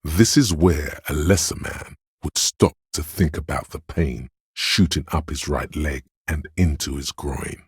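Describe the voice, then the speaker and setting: The voice of pain inside someone's head, a male presence with an extremely low, husky rumble. It narrates a dark video game like grim poetry, brooding and deliberate. It goads the listener with theatrical menace, close and dry. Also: no room sound, heavily acted. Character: inner voice of pain, male, menacing, darkly poetic. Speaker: video game narrator voicing a character's inner thought. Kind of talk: dramatic second-person game narration.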